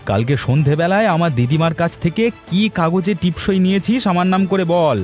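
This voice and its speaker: A man's voice: on the high side, a little fast, natural voice. Man as an indignant young cartoon villager, scolding. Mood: angry. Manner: scolding, emphatic. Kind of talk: cartoon voice acting. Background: background music.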